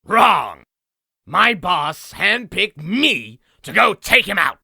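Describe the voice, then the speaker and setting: A man playing a sinister, boastful old demon in a comic dub, telling tales in a raspy, gravelly, theatrical voice. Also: high voice, big pitch swings, slow pace, pushed and bright, dry recording, studio quality, full character voice. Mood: irritated, menacing. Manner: theatrical, grandiose storytelling. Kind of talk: comic dub villain acting.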